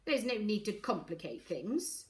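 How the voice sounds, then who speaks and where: A woman's voice: a little high, lively intonation, unhurried, a bit bright, echoey room, voice pushed up. A woman voicing a savage, haughty old grandmother in a storybook, scornful and imperious. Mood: exasperated.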